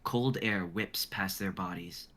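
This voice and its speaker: Casting: man in his twenties, slightly low, bright voice, casual script narrator. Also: takes it slowly. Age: 20s. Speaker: writer and narrator of a script reading. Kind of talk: casual chat and stage-direction narration.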